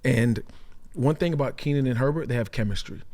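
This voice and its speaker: A man's voice: medium pitch, fairly quick, darkish tone. A man in casual sports podcast chat as an analyst, conversational and often seeking agreement with short tag questions. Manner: conversational, often checks in with "right?". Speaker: sports analyst, former pro player.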